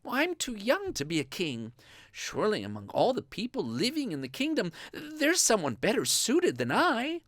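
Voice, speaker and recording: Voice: medium pitch, wildly swooping pitch, normal speed, fairly bright tone, no room sound, pitched above the performer's own voice. A storyteller voicing a modest, bewildered teenage boy in a bedtime story, hesitant and questioning. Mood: hesitant disbelief.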